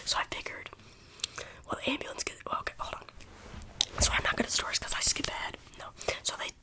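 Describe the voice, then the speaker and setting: A young adult telling a rambling, casual story in a soft ASMR whisper, with a slight lingering cough. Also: brisk, long pauses. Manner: rambling, casual, full of "like" fillers.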